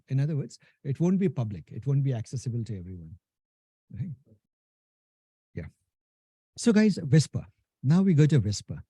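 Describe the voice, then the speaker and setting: A man's voice: mid-range voice, mellow, slightly rough, no echo. A man with a thick Indian accent, giving a casual live technical lecture to a class, often asking "right?" and restarting sentences. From India and Nepal. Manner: casual, talks to the class as "guys", many "right?" tags and restarts. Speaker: instructor.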